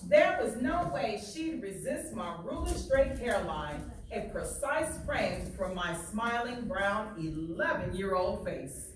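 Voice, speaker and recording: A woman reads aloud like a teacher, explaining and checking for understanding as she goes. Her delivery is unhurried. The recording is heavily reverberant and off the microphone, with faint noise. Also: medium pitch, lively intonation. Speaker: teacher reading a book aloud. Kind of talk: read-aloud with plain explanations and check-in questions. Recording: very echoey room, distant, faint noise.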